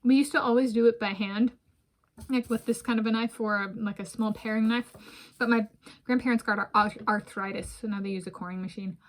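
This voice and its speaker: A woman's voice: a little high, steady tone, a little fast. Rambling woman vlogging while eating. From United States. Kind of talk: casual food vlog talk. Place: home room with bookshelves, indoors.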